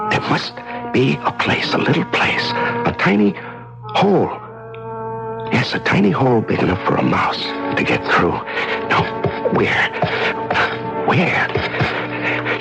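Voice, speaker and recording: An unraveling prisoner muttering to himself in old radio drama, slow, voice swooping all over, music behind. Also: male voice, mid-range voice, vocal fry, heavily acted. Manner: halting, repetitive, talking to himself. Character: desperate, unraveling male prisoner alone in a dark cell.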